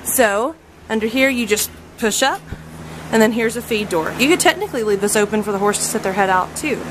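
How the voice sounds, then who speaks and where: A woman's voice: mid-range voice, brisk. A woman in her thirties giving a casual, chatty walkthrough of her barn's horse stalls, full of ums, recorded on the handheld camera she is carrying. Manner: chatty, frequent ums, filming as she walks. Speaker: barn owner reviewing horse stalls. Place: indoor horse barn aisle. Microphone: handheld camera mic. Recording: noisy handheld camera audio.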